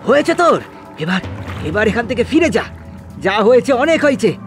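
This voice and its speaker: Village fish farmer for horror cartoon , man performing: high, urgent voice, stammering yet defiant, music behind. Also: very animated, moderate pace, gravelly, exaggerated voice. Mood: pleading, defiant. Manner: stammering, repeating words in fright.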